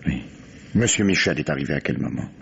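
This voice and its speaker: Low-voiced, methodical police commissioner. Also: male voice, expressive, creaky, fairly bright tone, exaggerated voice. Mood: patient, probing. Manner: measured, probing, courteous but firm. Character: patient, methodical male police commissioner questioning witnesses. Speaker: actor in a radio mystery play. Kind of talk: French radio mystery drama acting. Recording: dull, noisy old radio recording.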